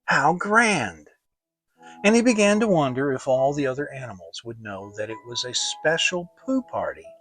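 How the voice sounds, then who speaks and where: A narrator giving a theatrical, expressive dramatic reading of a children's storybook. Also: mid-range voice, low-quality recording. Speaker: audiobook narrator.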